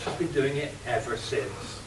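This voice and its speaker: A man playing a scheming female criminal mastermind in an amateur radio play, cold and deliberate. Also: mid-range voice, lightly acted. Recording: roomy, distant, faint hiss.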